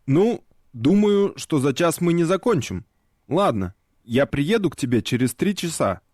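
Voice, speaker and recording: A man's voice: a little high, big pitch swings, measured pace, a little mellow, no echo, clean studio sound. A man voicing a friendly, joking Swedish character in a scripted lesson dialogue.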